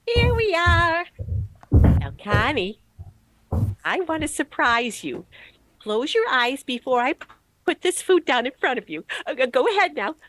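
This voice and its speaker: A woman's voice: high-pitched, very animated, measured pace, long pauses, a bit bright, heavily acted, rough recording. A woman playing a fussy, playful landlady in a 1940s-style radio comedy, speaking in a fluttery, singsong way. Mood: playful, gleeful. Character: fussy, chirpy, playful landlady serving breakfast.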